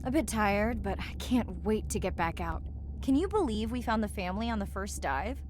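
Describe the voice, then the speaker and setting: Woman playing warm young diver lady from a video game, full of wonder, normal pitch, natural acting. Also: animated, normal speed, noisy background, natural voice. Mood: playful.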